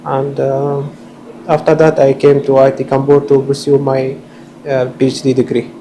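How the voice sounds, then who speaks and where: A man, a PhD student introducing himself haltingly with many fillers. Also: mid-range voice, monotone, dark tone, slight background noise, rough recording. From Syria. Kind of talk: self-introduction.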